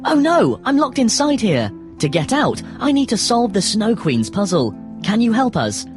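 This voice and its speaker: A woman's voice: fairly high pitch, big pitch swings, moderate pace, over music. A woman playing a lost, bewildered, affectionate young boy in a storybook game, earnest and plaintive. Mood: pleading.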